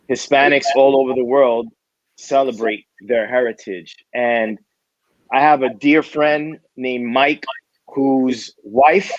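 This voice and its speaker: A man chats as a guest in a relaxed, candid way, dropping casual slang. His voice sits mid-pitch, and the recording is dry and a little dull. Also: dry recording. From New York City. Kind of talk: casual interview chat.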